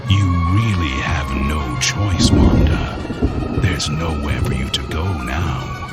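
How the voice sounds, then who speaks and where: An actor in a radio drama playing a sinister, smooth-talking stalker with a courtly, menacing and deliberate manner. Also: male voice, rumbling low, over loud music. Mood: taunting.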